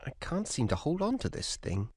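A man's voice: medium pitch, very animated, normal speed, darkish tone, slightly rough, dry recording, studio quality. A man giving casual commentary as host of a video game playthrough. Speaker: let's play host.

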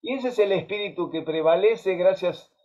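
Argentine guy, 50s, high voice, chatty storyteller on a muffled video call. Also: moderate pace. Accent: Argentine Spanish. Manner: animated, storytelling, frequent fillers and restarts. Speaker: musician and host. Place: home music room. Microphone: webcam on a video call. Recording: dull lo-fi video-call audio.

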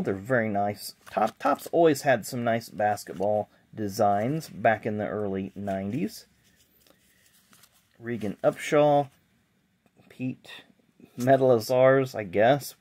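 A man's voice: mid-range voice, darkish tone. Chatty man sorting old sports cards. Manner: chatty, rambling, self-deprecating asides. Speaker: sports card collector vlogger. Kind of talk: casual unboxing chat. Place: indoor card room at a table. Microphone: camera mic, hands in frame.

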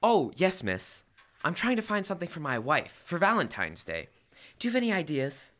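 A young man playing an earnest, fussy husband in an old-time radio comedy, with hesitant, deliberate comic timing. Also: fairly high pitch, wildly swooping pitch, a bit bright. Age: teens to young adult. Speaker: student actor. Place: school library, indoors, live stage reading. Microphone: stand microphone.

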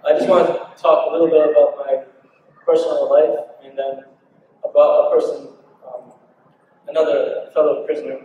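A man in his thirties from Maryland, speaking at a conference podium and telling his life story in a relaxed, conversational way. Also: on the high side, moderate pace. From Maryland, United States. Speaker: panel speaker, former prisoner. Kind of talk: personal talk at a podium. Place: large conference hall. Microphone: podium microphone from a distance. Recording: echoey hall, distant dull mic.

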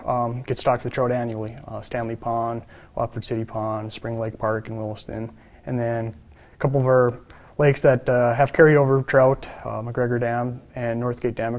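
A man's voice: medium pitch, steady tone, moderate pace. Male biologist in his thirties, ordinary mid-range voice, plain studio answers full of uhs. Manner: plain, matter-of-fact, with many filler uhs. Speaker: fisheries biologist. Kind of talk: interview answers. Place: studio, seated.

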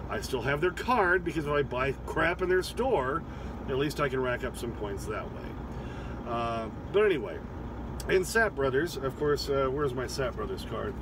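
A man's voice: on the high side, noisy background. A man in his fifties, a truck driver vlogging from his cab, chatty and rambling with many fillers and restarts. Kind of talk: casual vlog talk to camera.

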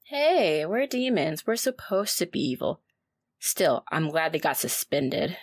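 A woman's voice: deep voice, sing-song, a little slow, breathy edge, no room sound, full character voice. A woman playing a wry young demon student, casual, teasing and protective, in an audio roleplay. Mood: playful, teasing. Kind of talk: ASMR audio roleplay acting.

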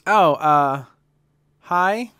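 A woman's voice: lowish voice, extremely slow, a bit bright, clean tone, dry recording, studio quality. A woman voicing an awkward but boastful young goblin shop worker in a fantasy audio comedy, with an emphatic delivery. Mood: awkward evasion.

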